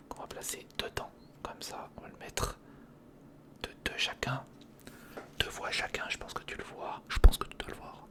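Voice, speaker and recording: A person whispering informal ASMR commentary in short step-by-step remarks while building, speaking directly to the viewer. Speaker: ASMR video creator and host.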